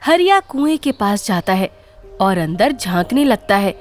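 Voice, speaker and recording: A woman's voice: medium pitch, very animated, measured pace, soft background music. A woman narrator reading a scripted story in a dramatic storytelling style.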